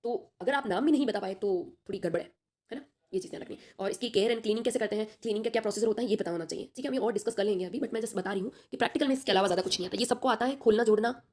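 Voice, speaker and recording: A woman teacher talks very fast in a husky, slightly breathy voice, sore-throated and chatty. She reassures her students and keeps checking in with them over a video call. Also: mid-range voice, darkish tone. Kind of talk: online class coaching students for an exam.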